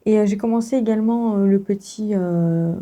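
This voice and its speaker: A woman's voice: medium pitch, normal speed, dark tone. A young woman vlogging casually, congested with a sore throat, chatting with many fillers and laughs. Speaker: book vlogger. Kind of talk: casual vlog chat.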